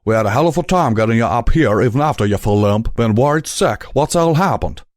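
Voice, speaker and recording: A man voicing a gruff, protective male pegasus with a folksy drawl, scolding a friend. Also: medium pitch, animated, brisk, no echo, clean studio sound, close to an everyday voice. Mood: worried.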